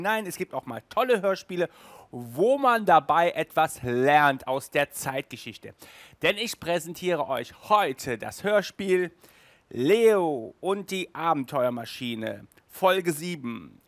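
A middle-aged man presents a casual review in an enthusiastic, chatty way. He takes his time as he talks, in a voice a little higher than most men's. The sound is dry, picked up by a headset mic in a small room. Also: fairly bright tone, dry recording. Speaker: host of an audio drama review show. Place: small furnished room indoors, on a couch.

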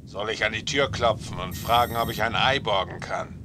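A man voicing a gruff, sardonic soldier in a German game dub. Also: on the low side, moderate pace, lightly acted. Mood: dry. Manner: dry, sardonic. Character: gruff male soldier, dry and wry. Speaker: covert operative. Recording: game audio with background noise.

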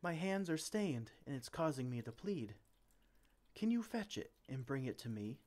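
A man's voice: medium pitch, big pitch swings, normal speed, darkish tone, natural voice. A man playing a flustered, well-meaning potion-brewing mage in an ASMR roleplay, theatrical and exclamatory. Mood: pleading, reassuring. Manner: theatrical, exclamatory, speaks to a silent assistant.

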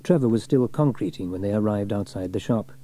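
A man narrating a scripted children's story in an expressive storytelling manner. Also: on the low side, a little fast. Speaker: audiobook narrator.

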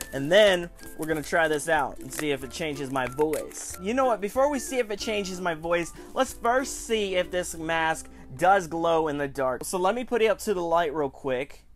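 A man in his twenties hosting a casual toy review, chatty and enthusiastic in an informal way. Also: on the high side. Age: 20s. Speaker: toy review show host. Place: small room at home.

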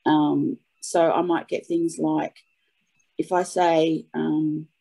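Woman, webinar participant, husky and dark-toned, casual first-hand account. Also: low voice, little pitch movement, slow pace, low-quality recording. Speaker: webinar participant, audio typist. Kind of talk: casual spoken account of own experience.

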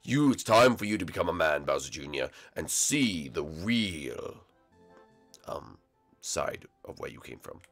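A man voicing a gruff middle-aged dragon-turtle king who is a strict father, in a lowered, stern voice. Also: very animated, measured pace, fairly bright tone, soft background music, full character voice. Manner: stern, patient, corrects his son.

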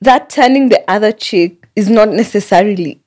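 A woman's voice: mid-range voice, normal speed, a little mellow. Kenyan woman reflecting aloud in conversation. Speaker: podcast guest, public theologian. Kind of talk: casual podcast conversation. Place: small indoor podcast studio. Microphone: close boom-arm studio microphone.

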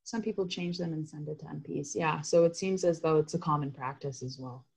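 A woman presenting informally in an online meeting, speaking haltingly with repeated words. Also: deep voice, vocal fry, a little mellow, husky. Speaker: advocacy coordinator leading a meeting. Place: indoors on a group video call. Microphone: computer video call.

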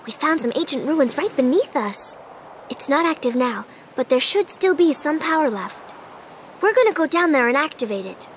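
A young woman playing a perky, bossy teenage girl salvager in a video game, bubbly but brisk. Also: high voice, animated, slight background noise. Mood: brisk, upbeat. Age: young adult.

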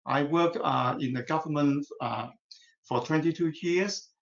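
Older man with a Hong Kong accent. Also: on the high side. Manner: measured, formal. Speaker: retired government translator. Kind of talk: formal self-introduction on a video call. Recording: echoey lo-fi call audio.

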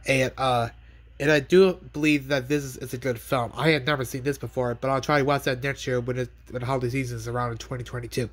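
Man with a cold, halting delivery. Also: mid-range voice, little pitch movement. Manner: halting, repeats words and restarts often. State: has a cold. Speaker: collector showing DVDs. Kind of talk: casual unboxing vlog. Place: bedroom, indoors. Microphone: handheld phone pointed at bed.

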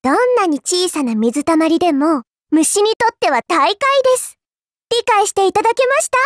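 Perky, very high-pitched smug little girl. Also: big pitch swings, moderate pace, no room sound, studio quality. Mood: teasing, smug. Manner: perky, teasing, polite speech with cutesy exclamations. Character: cute mischievous young girl in a summer outfit, playfully smug. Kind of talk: Japanese anime mobile game voice lines.

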